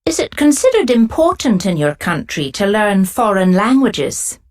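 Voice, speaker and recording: A woman reading scripted speaking-test questions as an examiner, clear and evenly paced. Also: mid-range voice, big pitch swings, moderate pace.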